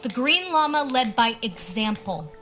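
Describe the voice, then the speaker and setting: An actress playing an earnest, idealistic young heroine in a radio drama, with soft music behind her. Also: on the high side, very animated, a little slow. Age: young adult.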